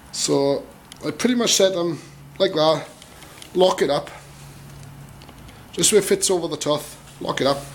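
Guy with a bit higher voice doing a casual how-to in a workshop, short offhand asides, noisy with filing sounds. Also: a little mellow. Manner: informal, clipped asides while working. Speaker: tutorial presenter. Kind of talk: casual hands-on demonstration commentary. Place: workshop, at a bench vice. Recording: clear background noise, filing and clicking. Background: metal filing rasp and clicks.

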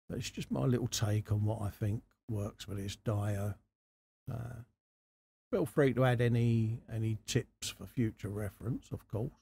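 A man with a low, gravelly voice and a mellow tone talks through hobby work at his bench. He is informal and chatty, explaining each step as he goes in an unhurried way. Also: slight background noise. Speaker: host of a scale model workshop channel. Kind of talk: casual hobby tutorial commentary while working. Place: indoor hobby workbench.

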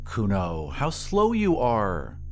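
A man voicing an irritable middle-aged mother in an audio drama, brisk, clipped and exasperated. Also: on the low side, huge pitch swings, faint music behind, heavily acted. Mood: impatient. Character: irritable, impatient, proper middle-aged mother of a grown son. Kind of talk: audio drama story reading.

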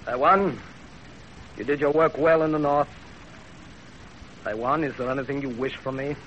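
A man's voice: mid-range voice, a bit bright, natural voice. A man as a commanding general, muffled old radio. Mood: stern, commanding. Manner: authoritative, deliberate. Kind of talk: 1930s radio drama acting. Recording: muffled, hissy 1930s radio recording.

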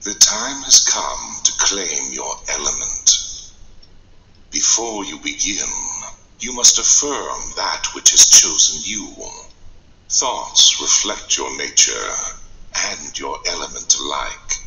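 An actor playing a solemn, stern elder mage in a game, speaking formally and portentously, echoing. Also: male voice, extremely low pitch, very animated, slow, sharp tone, exaggerated voice. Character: solemn, stern elder mage master leading initiation rite. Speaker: game character. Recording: echoing game audio played through a speaker.

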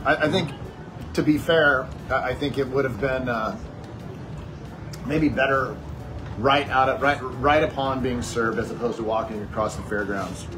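A man in his forties talks through a fair dessert, circling back over his words with frequent fillers. His voice sits at an ordinary middle pitch. It is recorded on a phone in a small wooden shed, with clear background hiss. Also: fairly even intonation, normal speed. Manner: wordy, hesitant, many fillers and restarts. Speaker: food reviewer. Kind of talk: casual chat.

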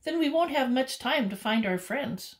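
A woman's voice: animated, normal speed. A woman voicing a sad, earnest young raccoon in a storybook reading aloud. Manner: high, eager, emphatic storybook reading.

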